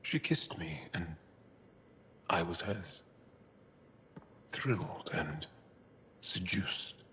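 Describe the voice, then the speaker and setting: An actor playing a grieving, thoughtful alien resistance fighter in a video game, speaking haltingly and softly over music. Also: male voice, low voice, animated, slight background noise, heavily acted. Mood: wistful. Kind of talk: video game cutscene acting. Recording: music under the voice.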